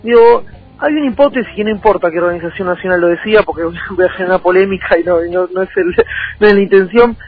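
A young man with an Argentine Rioplatense accent giving a political radio interview, halting and repeating himself as he rephrases. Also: sits high. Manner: halting, repetitive, circling back to rephrase. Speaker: interview guest, local political candidate. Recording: thin phone-like line, music behind.

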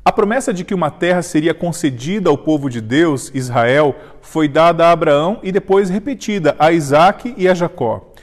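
Male Bible teacher, 30s, slightly high voice, earnest and measured, long reverb. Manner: earnest, measured, reads scripture aloud slowly. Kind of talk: devotional Bible lesson with closing prayer. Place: indoor studio set with armchair and flowers.

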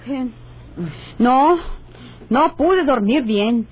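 An actress playing a bitter, nagging Mexican wife in an old radio drama, complaining with scorn. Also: female voice, high-pitched, lively intonation, drawn-out words, breathy edge, exaggerated voice. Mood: bitter, scornful. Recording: tinny, band-limited old radio recording.

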